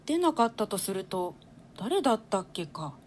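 A woman's voice: mid-range voice, sing-song, normal speed, darkish tone. A woman playing a precocious, cheeky little royal boy in a read-aloud stage play, with a childlike, pert way of telling things. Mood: pert, chatty.

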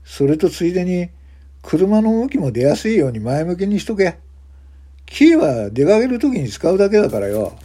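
A man voicing a menacing, foul-mouthed gangster hotel guest, domineering and curt, with rough slangy speech. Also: high voice, sing-song, normal speed, pitched above the performer's own voice, heavily acted. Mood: smug, bossy. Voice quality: loud, rough shouting when angered.

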